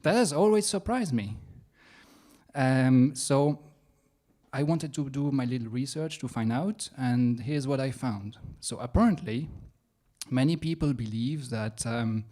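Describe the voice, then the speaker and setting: A man's voice: mid-range voice, measured pace, dark tone. Man, French accent, young adult, stand-up comedy set, self-mocking, hesitant, playful asides. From France. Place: comedy venue stage.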